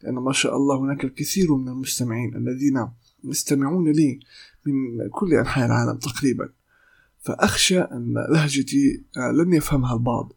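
A young man from Palestine, a voice actor, hosting a solo podcast in careful formal speech, pausing often with fillers and restarts. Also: medium pitch, creaky, breathy edge. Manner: hesitant, many "uh" fillers and restarts. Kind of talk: solo podcast talk in Modern Standard Arabic.

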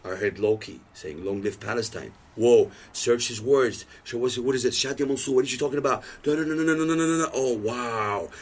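A man's voice: medium pitch, brisk, few pauses. A man in his thirties, a podcast guest, telling stories in casual chat and acting out the lines he quotes. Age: 30s. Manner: animated storytelling, acts out quoted lines.

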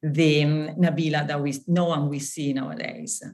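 A podcast host asking interview questions in a measured, formal way. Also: mid-range voice, fairly even intonation, a little mellow.

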